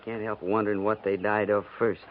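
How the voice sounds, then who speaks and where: A tinny, noisy vintage radio western. A male actor plays a cavalry sergeant, a low-voiced man who is grim but dutiful. His talk is plain, folksy and respectful. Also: flat delivery, brisk, lightly acted. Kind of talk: 1950s radio western acting.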